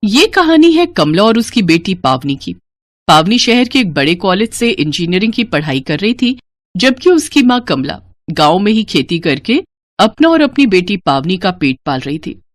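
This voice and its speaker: A woman's voice: medium pitch, big pitch swings, moderate pace, no echo. A woman reading a scripted story as its narrator.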